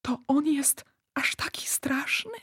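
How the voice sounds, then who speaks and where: A woman voicing a curious, timid little girl in a storybook, speaking softly and questioningly. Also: high-pitched, expressive, takes it slowly, dry recording, whispered, clean studio sound, voice pushed up. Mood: timid, puzzled. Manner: soft, questioning.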